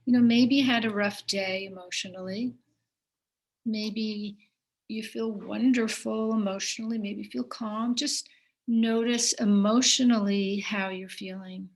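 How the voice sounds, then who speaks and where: A woman teaches a guided meditation in a medium-pitched voice. She gives her instructions gently and without hurry, leaving pauses between short phrases. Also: fairly even intonation.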